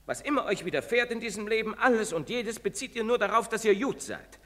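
An actor playing an earnest priest in a stage drama, pleading and moralizing in rhetorical style. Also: male voice, sits high, lively intonation, a little fast, sharp tone. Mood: agitated, pleading. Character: earnest, preaching priest, later old and guilt-ridden. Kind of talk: theatrical stage drama acting. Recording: reverberant theatre stage recording.

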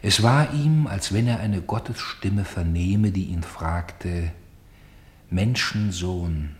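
An actor playing a grave, sorrowful storyteller in a radio play, with solemn and deliberate phrasing. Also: male voice, fairly low pitch. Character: grave, sorrowful male storyteller bearing witness to tragedy.

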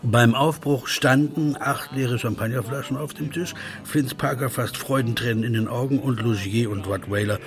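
A man's voice: mid-range voice, normal speed. A man giving scripted literary narration as the narrator of a radio play.

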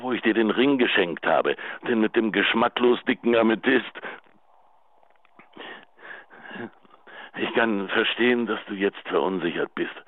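An actor playing a pleading, lovesick, self-pitying husband leaving a halting, intimate voicemail in a radio drama. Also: male voice, low voice, no room sound. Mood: tender, pleading. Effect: telephone voicemail filter. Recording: tinny narrow phone line.

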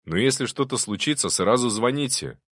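A man's voice: mid-range voice, animated, brisk, a bit bright, dry recording, studio quality, voice pushed up. A man voicing a wry, commanding male baron who questions his men sternly in an audiobook. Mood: firm.

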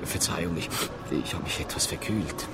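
Melancholy low-voiced lovesick gentleman acted by a man. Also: moderate pace, close to an everyday voice. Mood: subdued, dazed. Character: melancholy, lovesick gentleman passenger haunted by lost love. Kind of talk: radio drama acting. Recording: music behind the voice.

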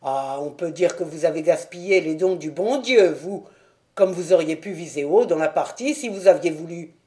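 A woman voicing a burly, stubborn male gatekeeper and former boxer with a gruff, blunt delivery in an audiobook story. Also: low-pitched, moderate pace, dark tone, lightly acted. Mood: astonished, admiring. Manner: firm, blunt.

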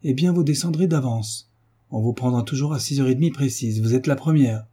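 A man's voice: fairly high pitch, sing-song, a little fast, warm tone, husky, voice pushed up. A man voicing a prim, sour school supervisor, an unmarried woman with a pinched, curt, officious way of speaking, in a storybook reading.

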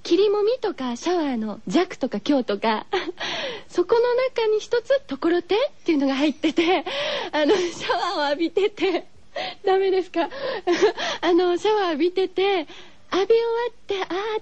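A woman hosting a radio show, chatting gently and girlishly as she muses to her listeners. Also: very high voice, no echo, dull audio. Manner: gentle, girlish musing with rhetorical questions to listeners. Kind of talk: chatty solo radio talk, reading listener postcards.

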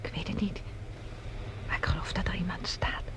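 A woman's voice: on the low side, steady tone, moderate pace, lightly acted. A woman playing an anxious, watchful fugitive in a science fiction radio drama, nervous and often dropping to a whisper. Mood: frightened. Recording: noisy scene with background ambience.

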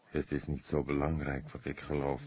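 A man voicing an old police detective in an audiobook, weary and thoughtful, speaking in a measured, deliberate way with gentle sarcasm. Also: rumbling low, little pitch movement, slightly rough. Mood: soft, weary. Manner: slow, measured, deliberate, soft-spoken.

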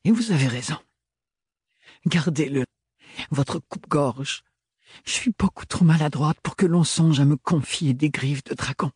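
A man reading aloud and voicing a young, clumsy, defiant woman with a rusty, hoarse voice. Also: fairly high pitch, measured pace, pauses often, darkish tone, no room sound, clean studio sound, pitched above the performer's own voice. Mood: bitter.

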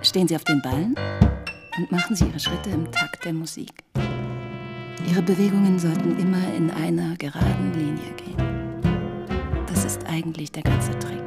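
A woman's voice: lowish voice, fairly even intonation, normal speed, gravelly, pauses often. A woman playing a cool, self-possessed city woman in a radio drama, composed and wry. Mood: cool, teasing. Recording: music behind the voice.

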